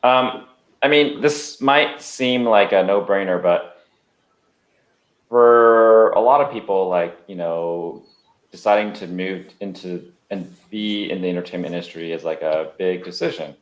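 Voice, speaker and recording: A man's voice: deep voice, measured pace. A man hosting a casual remote interview, informal, restarting his sentences and dropping in short interjections. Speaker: podcast host, interviewer. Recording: roomy, echoing remote recording.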